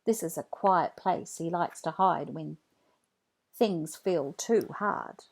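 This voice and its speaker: A woman reading a children's story aloud in an expressive, deliberate read-along style. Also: on the low side, vocal fry. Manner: deliberate, animated phrasing for children.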